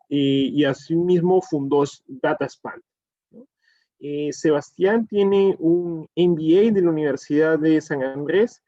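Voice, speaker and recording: A man's voice: fairly high pitch, dark tone, no echo. Man moderator on a dull dry line. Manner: reads a speaker bio with frequent "eh" fillers. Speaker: webinar moderator. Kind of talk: formal introduction.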